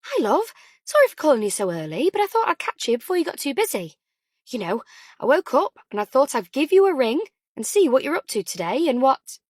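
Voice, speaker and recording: A woman's voice: sits high, very animated, a little fast, darkish tone, no room sound, clean studio sound, pitched above the performer's own voice. A woman voicing a cheery, doting, anxious older mother chatting on the phone in an audiobook. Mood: cheery, rushed. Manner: chatty, fussing, affectionate. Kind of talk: audiobook character dialogue.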